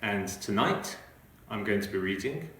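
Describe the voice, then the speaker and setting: A man working as a storyteller, reading a children's story aloud in an expressive way. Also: fairly low pitch, measured pace, a little hiss or hum. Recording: very echoey room.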